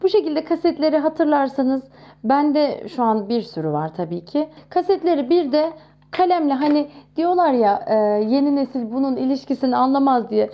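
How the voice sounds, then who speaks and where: A woman in her thirties talking casually to camera in a chatty, expressive way, showing off nostalgic things. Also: sits high, moderate pace, muffled recording. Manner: chatty and expressive, with frequent exclamations. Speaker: vlogger.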